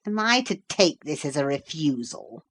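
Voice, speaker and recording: A woman's voice: low voice, big pitch swings, moderate pace, fairly bright tone, exaggerated voice. A woman reading aloud, voicing a greedy, pompous male magician who turns cross.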